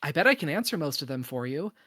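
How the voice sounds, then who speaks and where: A woman playing a wise, protective space probe in a podcast table read, speaking in a measured, firm, reassuring manner. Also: low-pitched, big pitch swings, fairly bright tone, no room sound, studio quality, heavily acted. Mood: tender, reassuring.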